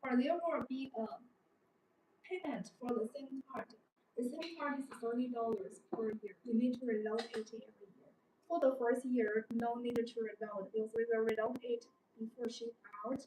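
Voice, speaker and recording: A woman's voice: fairly high pitch, fairly even intonation, moderate pace, dark tone. A young woman, not a native English speaker, giving an unscripted, repetitive live sales demonstration of a product. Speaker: live-stream product presenter. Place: indoor showroom studio with desk and backdrop. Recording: roomy, distant mic, background noise.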